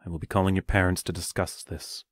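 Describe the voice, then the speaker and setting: A stern male teacher acted by a man in an audio play. His voice is very low and closely recorded, and he scolds in a firm, measured manner. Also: little pitch movement, dry recording, clean studio sound.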